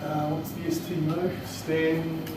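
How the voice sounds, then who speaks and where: A man with a New Zealand accent presents technical training in an informal, plain-spoken way. He is picked up from far off in a very reverberant room, with clear noise behind him. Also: on the high side, level tone, takes it slowly. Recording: echoey room, distant mic, noisy.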